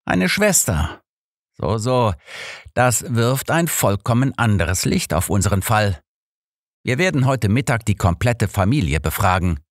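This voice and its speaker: A man's voice: on the low side, sing-song, measured pace, dry recording, clean studio sound, voice pushed up, full character voice. A man reading an audiobook, voicing a shrewd, assured old master detective who speaks formally and analytically. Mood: pondering. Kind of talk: audiobook character dialogue.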